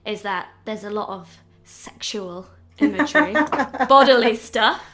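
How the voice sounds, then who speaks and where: A woman's voice: fairly high pitch, lively intonation, a little slow, a crowd in the background. Woman interviewer asking friendly questions. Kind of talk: casual conversational interview.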